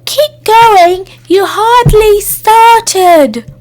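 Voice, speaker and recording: Storybook witch, played by a woman: vain know-it-all, shrill-pitched, bossy and scornful. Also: extremely slow, darkish tone, heavily acted. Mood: jeering.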